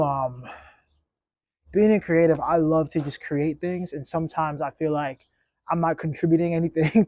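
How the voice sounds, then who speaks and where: A man's voice: on the high side, dark tone, no room sound, highs cut off. A man in his late twenties hosting a casual solo podcast outdoors on a clip-on mic, talking in a reflective, rambling, laid-back way. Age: 20s. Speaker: podcast host.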